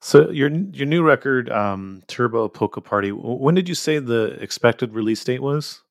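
A man's voice: mid-range voice, lively intonation, moderate pace, vocal fry, no echo, studio quality. A man hosting a podcast and asking curious follow-up questions with fillers. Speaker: podcast host. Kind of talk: casual interview questions.

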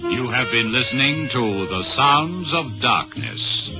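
A man announcing in the dramatic style of old radio, measured and theatrical. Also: medium pitch, expressive, muffled recording. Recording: band-limited old radio recording, loud music.

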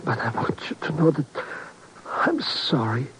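An actor playing a self-pitying wounded rogue, halting and melodramatic, in a 1950s radio drama. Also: male voice, medium pitch, wildly swooping pitch. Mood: weak, plaintive. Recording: noisy old radio broadcast recording.

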